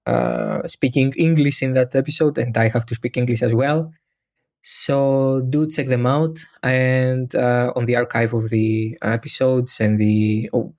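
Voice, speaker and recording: A man's voice: medium pitch, a little mellow. A man from Greece with a Greek accent, Greek being his native language. His voice sits in a middle range. He hosts a podcast in casual chat, with a joking, self-deprecating manner. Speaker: podcast host.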